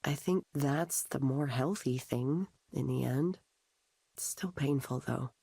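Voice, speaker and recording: An actress playing a discouraged, anxious artist confiding her self-doubt in a visual novel, in a halting, confessional way. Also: a bass voice, warm tone, dry recording, full character voice. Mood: resigned, searching. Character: discouraged, anxious young woman artist in therapy. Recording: game dialogue under clear music.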